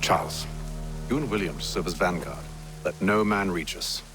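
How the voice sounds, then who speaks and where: An actor playing a calm, authoritative officer who commands his men in measured, clipped sentences, in a video game scene. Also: low voice, over music, close to an everyday voice. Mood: commanding. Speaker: commander leading an ambush. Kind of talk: video game cutscene dialogue.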